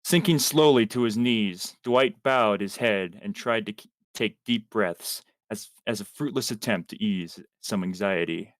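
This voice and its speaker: A man's voice: lowish voice, unhurried, hardly any pauses, no echo. A man reading a piece of fan fiction aloud to friends on a livestream, theatrically, now and then stumbling over words.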